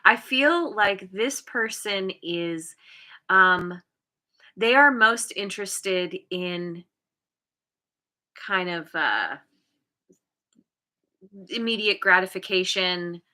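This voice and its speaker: A woman's voice: medium pitch, animated, very slow, long pauses, sharp tone. A woman giving a casual tarot reading, talking in a hesitant way with many fillers and restarts and laughing at herself now and then. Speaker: tarot reader.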